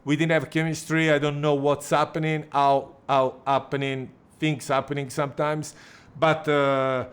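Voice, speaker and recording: Host: man, voice sits a bit high, casual and halting, reads out viewer questions. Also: level tone, clean tone. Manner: broken English phrasing, halting. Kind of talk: casual live chat.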